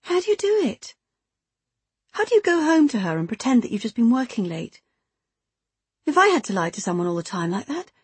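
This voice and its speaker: A young woman in her twenties, acted by an audiobook narrator, anxious and guilty. Her voice is breathy and a little rough, and she speaks in an urgent, flustered way. The sound is dry and intimate. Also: fairly high pitch, very animated, brisk, long pauses, no room sound, pitched above the performer's own voice, exaggerated voice. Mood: incredulous, admiring. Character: guilty, flustered 26-year-old woman having a secret affair.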